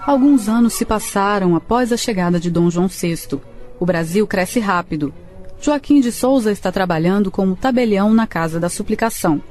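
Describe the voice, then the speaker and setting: Female radio drama narrator, medium pitch. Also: moderate pace, darkish tone. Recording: faint music behind.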